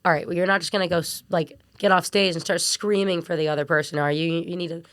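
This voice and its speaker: A young woman with a raspy voice, a singer and vocal coach, chatting in an interview with lots of fillers. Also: lowish voice, steady tone, quick pace, no echo. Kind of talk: casual interview chat.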